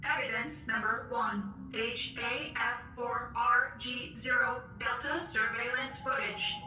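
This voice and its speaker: A woman's voice: fairly high pitch, steady tone, slow. A woman voicing a formal archive announcer in a video game, reading out evidence entries in clipped, scripted phrases. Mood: formal, detached. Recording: echoey, distant, clearly noisy.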